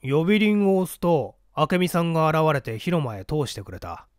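A man's voice: fairly high pitch, sing-song, normal speed, no room sound. Guy playing a touchy blunt reporter in an audiobook, curt, swingy intonation, dry recording. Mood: recounting. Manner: curt, defensive. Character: blunt, hot-headed male newspaper reporter and witness. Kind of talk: dramatic storybook reading of a mystery.

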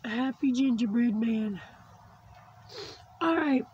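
A high-pitched woman whose voice is fading after surgery, so it comes out worn and thin. She talks slowly and chattily while she films her crafts from above at a tabletop. A faint hiss of noise sits under the recording. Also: a little mellow, dull audio. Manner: slow, chatty, cooing over small crafts. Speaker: crafting channel host. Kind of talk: casual unboxing chat. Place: indoors at a tabletop craft setup.

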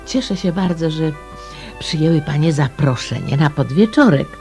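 Radio play role: courteous, inquisitive woman detective, lowish animated voice. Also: moderate pace, soft background music. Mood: courteous, probing. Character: polite, persistent lady amateur sleuth. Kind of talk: radio drama acting.

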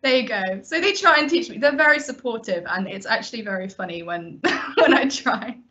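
A woman's voice: on the high side, expressive, moderate pace, pushed and bright. A young woman student chatting on a video call, rambling with hesitations and frequent laughter. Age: young adult. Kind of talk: casual chat on a video call. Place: bedroom at home. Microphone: laptop webcam on a video call. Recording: dull lo-fi video call audio.

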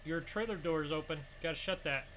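Excitable man with a high, bright voice. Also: quick pace, low-quality recording. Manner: excitable, exclamatory, bossy urging. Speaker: gaming video creator playing a truck roleplay. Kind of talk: improvised gaming roleplay banter. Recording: faint background noise.

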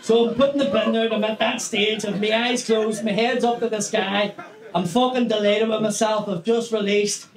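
A man in his thirties with a Northern Irish accent, doing a stand-up set in a small club, animated and swearing as he tells a story. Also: sits high, moderate pace. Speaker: stand-up comedian. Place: small comedy club stage with brick wall. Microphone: handheld stage mic. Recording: echoey club with loud audience laughter. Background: audience laughter.

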